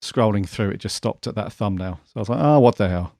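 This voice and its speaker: British man, low voice, dark relaxed tone, laid-back podcast co-host, casual chat. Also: a little fast, vocal fry, no room sound.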